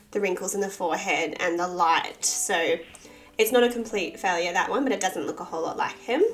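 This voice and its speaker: A woman, husky from a cold with a bit of vocal fry, chatting casually and self-deprecatingly through a sketchbook tour. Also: medium pitch. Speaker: artist and channel host.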